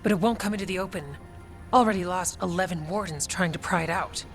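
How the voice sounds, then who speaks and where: An actress playing a frank, weary warrior officer in a video game, speaking in a plain, businesslike way. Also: female voice, lowish voice, fast, close to an everyday voice. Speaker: Warden officer giving battle briefing. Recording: music behind the voice.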